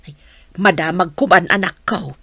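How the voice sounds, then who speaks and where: A woman's voice: fairly low pitch, moderate pace, creaky, fairly bright tone, husky, no room sound, heavily acted. A woman acting a prodding older village mother in an Ilocano radio drama.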